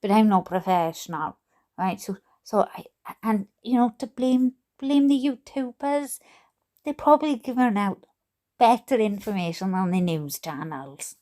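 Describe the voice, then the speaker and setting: A Welsh woman reading aloud casually with chatty, jokey asides to her online audience, in an expressive, self-deprecating way. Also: medium pitch, unhurried. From Wales. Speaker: YouTube host reading letters aloud.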